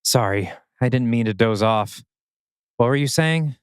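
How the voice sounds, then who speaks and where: Earnest, weary male peacemaker, played by a male reader, casual, animated pitch, dry sound, audio drama. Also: a little slow, smooth, dry recording, lightly acted. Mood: tired. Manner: casual, conciliatory. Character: tired, earnest young man trying to keep peace. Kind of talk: acted screenplay read-through.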